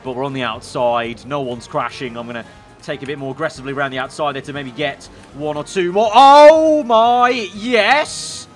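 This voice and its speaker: A young man commentating a racing game, excitable and slightly hoarse, breaking into shouts at crashes. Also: fairly high pitch, moderate pace, soft background music. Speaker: gaming YouTuber.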